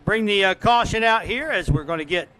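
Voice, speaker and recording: A man's voice: sits high, expressive, a little fast, bright, forceful tone. A man working as a dirt track race announcer, calling out drivers, car numbers and lap times in live commentary. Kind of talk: live motorsport commentary over a PA, reading names and times.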